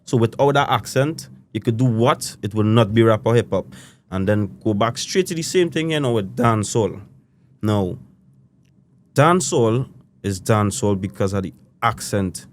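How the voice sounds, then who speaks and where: A man's voice: lowish voice, expressive, moderate pace, a little hiss or hum. A young Trinidadian man with a Trinidadian accent, a musician being interviewed, talking casually and rambling with loose island phrasing and lots of "you know". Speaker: guest musician.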